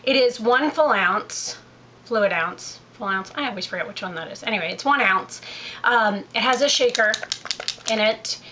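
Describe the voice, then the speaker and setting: A woman talks casually through a makeup review, sounding stuffy from a head cold. Her voice sits a little high and comes across very bright. She rambles, corrects herself and fills gaps with "um". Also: expressive, normal speed, slight background noise. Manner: chatty, rambling, self-correcting with many fillers. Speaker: beauty vlogger.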